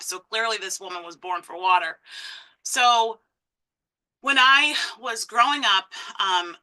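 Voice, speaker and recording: A woman's voice: medium pitch, rough recording. A woman in her thirties from Massachusetts, a conservation director giving a personal talk full of ums and restarts. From Massachusetts, USA. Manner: warm storytelling with frequent ums and restarts.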